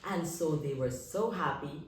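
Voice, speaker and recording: A woman reading a picture book aloud to young children in an expressive way, asking her listeners questions and pausing for drama. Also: low voice. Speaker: children's read-aloud storyteller. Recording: echoey room, distant microphone.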